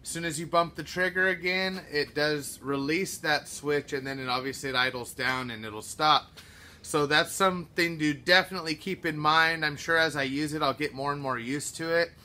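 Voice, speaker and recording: A man in his thirties casually reviewing a power tool in his shop, relaxed and full of fillers. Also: a little high, moderate pace. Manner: relaxed, filler-heavy, practical shop talk. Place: under a lowered truck, then inside an auto shop.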